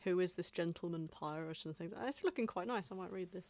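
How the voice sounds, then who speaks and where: A woman's voice: on the low side, a little fast, darkish tone. A woman co-hosting a podcast, chatting casually and with amusement in loose, informal phrases. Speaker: podcast co-host. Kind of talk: casual chat.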